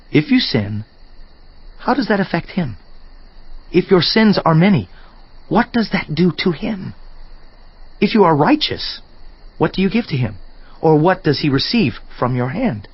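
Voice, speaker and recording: A man's voice: fairly high pitch, husky, full character voice. An actor playing an earnest, indignant biblical man, declaiming in an oratorical dramatized scripture reading with rhetorical questions. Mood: reproving, questioning. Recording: dull lo-fi audio with music. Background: background music.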